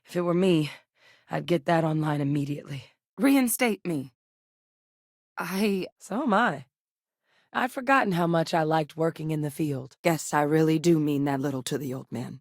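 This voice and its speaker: An actress voicing a level-headed woman scientist and field veteran in a video game, with a measured, matter-of-fact delivery. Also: sits low, dry recording, clean studio sound. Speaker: video game companion character. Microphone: studio microphone.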